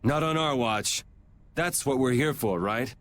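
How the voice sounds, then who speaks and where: A man's voice: mid-range voice, measured pace, a bit bright, slight background noise, close to an everyday voice. An actor playing a laid-back young swordsman hero with a wry, dry sense of humor.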